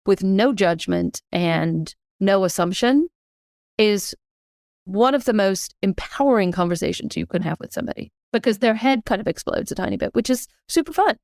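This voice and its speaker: Woman podcast guest explaining stuff casually w analogies, acts out questions, medium pitch, dry close sound. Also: animated, moderate pace, a little mellow, no room sound. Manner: informal, acts out questions and inner thoughts. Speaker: podcast guest, author and leadership advisor.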